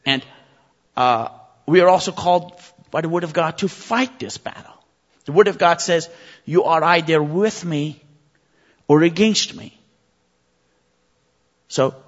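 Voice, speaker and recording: Impassioned high-voiced male preacher, reverberant. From Iran. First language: Persian. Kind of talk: sermon. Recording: muffled recording with long reverb.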